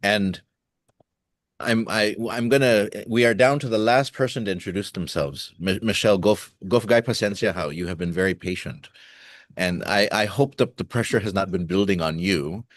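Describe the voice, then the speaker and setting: A man's voice: lowish voice, normal speed, dry recording, clean studio sound. A man hosting an online language class, friendly and joking, mixing in Chamoru phrases. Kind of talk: casual video call hosting. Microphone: video call.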